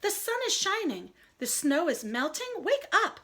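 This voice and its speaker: A woman voicing a cheerful, eager male frog in a children's storybook, calling out and coaxing excitedly. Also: very high voice, measured pace, pitched above the performer's own voice, heavily acted.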